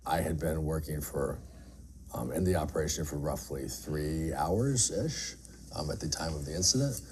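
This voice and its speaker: A man's voice: very deep voice, moderate pace, a little mellow. A middle-aged man giving candid, earnest interview answers in an emphatic, plain-spoken way, as an air traffic controller. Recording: faint background noise.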